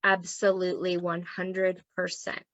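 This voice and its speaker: A woman's voice: fairly low pitch, completely flat delivery, measured pace, a bit bright, dry recording. A woman teaching an online group in a casual, encouraging way. She keeps checking in with "okay?" and her voice is worn from losing it. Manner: chatty and encouraging, frequent "okay?" check-ins. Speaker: human design teacher.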